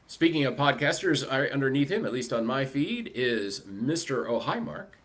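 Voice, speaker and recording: A man's voice: medium pitch, animated, normal speed, a bit bright. A man hosting a panel as track director, introducing guests in casual, chatty talk.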